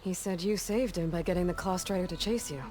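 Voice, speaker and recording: An actress playing a young female hunter, a capable outsider who speaks calmly and directly. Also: lowish voice, a little fast, over music, natural voice. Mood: determined. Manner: calm, direct, matter-of-fact. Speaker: game heroine. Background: game score.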